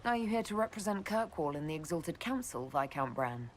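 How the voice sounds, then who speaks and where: Game role: composed female leader, slightly low pitch, animated, teasing. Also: fairly quick, slight background noise. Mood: poised, amused. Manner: teasing, poised. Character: playful, composed heroine leading an inquisition. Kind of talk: video game voice acting.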